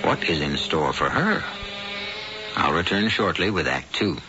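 A man hosting and narrating an old-time radio mystery in a theatrical, ominous, deliberate manner. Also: deep voice, very animated. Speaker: host and narrator. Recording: dull old radio broadcast, loud music.

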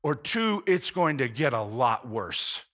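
A man's voice: medium pitch, very animated, slow pace, a bit bright. A male pastor preaching a conversational sermon, earnest and informal, often restarting phrases and saying "man".

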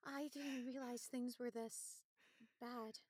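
An actress playing a hesitant, concerned, gentle woman in an audio drama, speaking softly and haltingly. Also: on the high side, steady tone, dry recording, studio quality. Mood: remorseful. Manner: halting, soft. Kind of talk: scripted audio drama acting.

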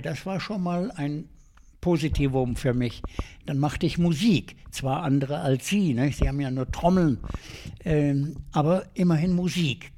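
An older man, a guest in an interview, telling his life story in a lively, colloquial way with many fillers and restarts. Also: a little high, slight background noise. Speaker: guest adventurer.

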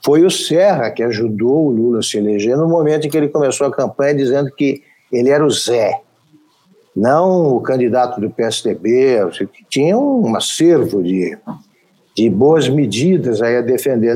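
A man's voice: medium pitch, expressive, moderate pace, mellow, slightly rough. A man with a Brazilian accent hosting a political talk show, giving opinionated, wry commentary. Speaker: show host.

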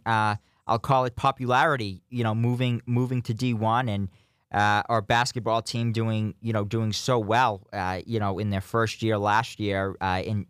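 A man's voice: fairly low pitch, fairly bright tone, no room sound, studio quality. Hesitant young man hosting radio. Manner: hesitant, many "you know" fillers. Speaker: radio host. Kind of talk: call-in sports radio talk.

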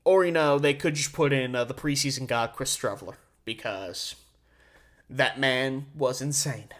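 A man's voice: medium pitch, moderate pace, fairly bright tone. A man hosting a solo sports show, giving opinionated commentary with emphatic delivery and words stretched out for stress. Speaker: sports podcast host.

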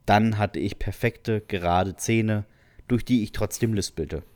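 Low-voiced man, amused storyteller. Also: measured pace, darkish tone, no room sound. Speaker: podcast co-host. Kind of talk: reading out listener stories with comments.

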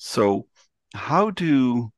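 A man hosting a podcast interview, who gives measured praise of his guest's points and then asks a follow-up question. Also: medium pitch, very animated, dry recording. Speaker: podcast host.

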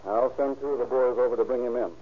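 An actor playing a brisk, businesslike police captain in 1930s radio drama style. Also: male voice, medium pitch, steady tone, natural voice. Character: brisk, businesslike male police captain leading a murder investigation. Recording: tinny, hissy old radio recording.

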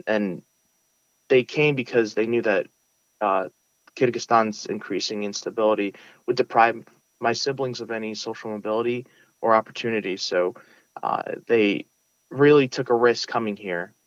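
A man's voice: on the low side, steady tone, moderate pace, dry recording, dull audio. A young man from Brooklyn, earnestly answering interview questions with many restarts and fillers. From United States (Brooklyn). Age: young adult. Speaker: interview guest, valedictorian. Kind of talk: casual interview.

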